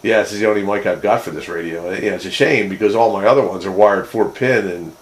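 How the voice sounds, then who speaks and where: A man's voice: fairly low pitch, fast, rough recording. A man, a radio hobbyist, chatting casually about technical matters. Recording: steady background noise.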